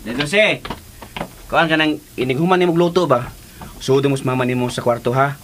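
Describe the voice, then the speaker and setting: An actor playing a folksy, watchful uncle in a radio drama, colloquial and with a Cebuano accent. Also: male voice, mid-range voice, moderate pace, noisy background, natural voice. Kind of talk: Philippine radio drama acting.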